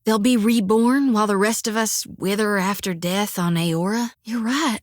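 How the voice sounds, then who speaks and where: An actress voicing a devout, fiery young priestess in a video game, with a folksy drawl and country sayings, recorded on a dry studio mic. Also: female voice, medium pitch, normal speed, dry recording, lightly acted. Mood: earnest. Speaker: game companion character.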